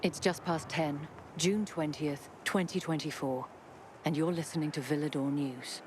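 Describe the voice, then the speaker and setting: A woman playing a grave, principled radio news anchor in a game, with a solemn, measured, emphatic delivery. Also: low voice, fairly even intonation, background noise, lightly acted. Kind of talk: scripted radio news bulletin. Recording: loud music bed under voice.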